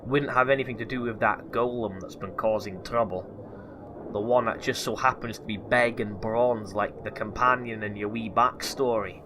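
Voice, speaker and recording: A man playing a gruff, foul-mouthed but kindly doctor with a Scottish accent in a comedy fantasy audio drama. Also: fairly low pitch, fairly even intonation, normal speed, noisy background, lightly acted. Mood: blunt, teasing. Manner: blunt, teasing, scolding.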